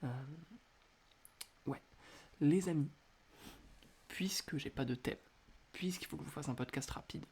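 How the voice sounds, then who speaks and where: Stuffy-nosed man with a gravelly voice. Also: medium pitch. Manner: hesitant, many filler sounds, speaks quietly. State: stuffy nose from a cold. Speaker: podcast host. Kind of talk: casual solo podcast advice. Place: indoors at home at night.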